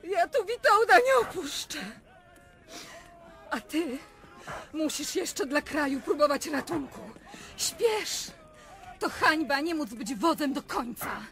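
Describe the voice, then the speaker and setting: A woman's voice: high voice, sing-song, slow pace, long pauses, fairly bright tone, soft background music, exaggerated voice. An actress playing a fierce, grieving mother and warrior woman in a radio drama, solemn and impassioned. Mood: fierce urging. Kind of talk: theatrical radio drama acting.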